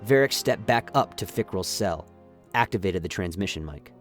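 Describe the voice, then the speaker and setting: A man's voice: low-pitched, animated, normal speed, faint music behind. A man reading scripted, dramatic story narration as the narrator of a radio play.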